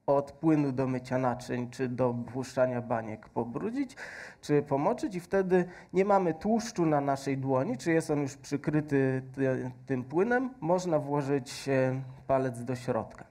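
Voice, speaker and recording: A man in his thirties doing a stage science demonstration, explaining slowly with many fillers and adding a faith message, on a headset mic. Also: medium pitch, lively intonation, warm tone. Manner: slow, patient explaining with frequent fillers. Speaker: science presenter. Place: large stage with audience hall.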